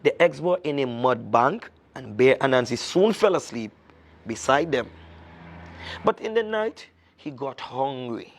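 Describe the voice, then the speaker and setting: A man speaking as a reading ambassador in an expressive children's storytelling style, deliberate, with dramatic pauses. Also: mid-range voice.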